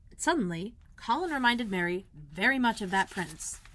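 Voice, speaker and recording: A woman narrating a children's storybook like a school librarian reading aloud, expressive and clearly phrased. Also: mid-range voice, normal speed.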